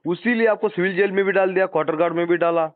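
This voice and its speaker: A man's voice: sits high, fairly even intonation, racing. A man doing a phone interview, prompting the other person with questions in a sympathetic manner. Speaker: interviewer, activist. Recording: roomy, tinny phone-line audio.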